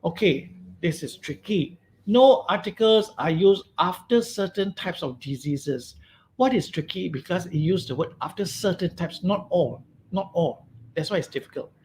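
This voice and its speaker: Malaysian English male lecturer, high register, emphatic and repetitive, keeps asking "okay?". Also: lively intonation, normal speed, a little mellow, slight background noise, poor audio. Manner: emphatic, repeats key words, frequent "okay?" checks. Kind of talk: webinar grammar lesson.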